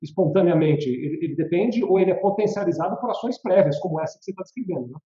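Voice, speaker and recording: A man commenting and asking questions in a conversation, quickly summarizing what the other speaker means. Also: high-pitched, expressive, warm tone. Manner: quick, reflective, summarizing remarks. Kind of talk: conversational interview. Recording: echoey room, muffled lo-fi audio.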